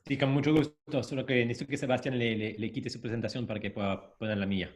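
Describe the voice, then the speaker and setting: A man's voice: mid-range voice, fairly even intonation, fast talker. A man, a native French speaker with a strong French accent, presenting informally as an academic, repeating words as he goes. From French-speaking country. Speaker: panel speaker, academic and consultant.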